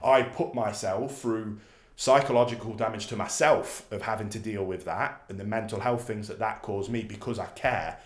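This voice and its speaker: A man's voice: lowish voice, moderate pace. A man who is a dog trainer, co-hosting a casual podcast and talking with passion and emphasis. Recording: echoey room.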